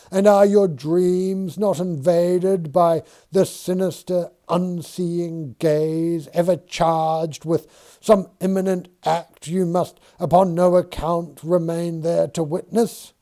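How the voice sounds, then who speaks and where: A man's voice: on the high side, steady tone, slow, dark tone, pitched above the performer's own voice. A man voicing a smirking, skeptical surveyor who talks in a dry, needling, old-fashioned formal way.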